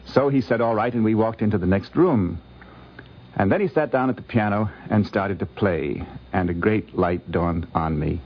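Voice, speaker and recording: A man giving a conversational, anecdotal recollection in a measured, articulate, gently humorous way. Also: fairly low pitch. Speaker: interviewee recalling a visit. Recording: dull vintage 1950s radio recording.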